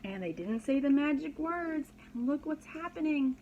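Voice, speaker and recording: A woman's voice: high voice, expressive, moderate pace, darkish tone, highs cut off, slight background noise. A woman reading a children's story aloud as a preschool teacher, dramatically and expressively, with simple explanations for young listeners.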